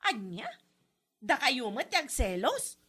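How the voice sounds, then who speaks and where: Woman as a very high-pitched Ilocano daughter. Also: very animated, slow pace, pushed and bright, slightly rough, full character voice. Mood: puzzled, teasing. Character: young daughter meddling between quarrelling parents. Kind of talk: comedy drama acting.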